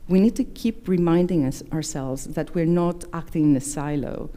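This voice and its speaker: Low-voiced Greek-born woman presenting. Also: dark tone. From Greece. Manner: measured, with frequent fillers and self-corrections. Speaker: executive director presenting annual report. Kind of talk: presentation to members.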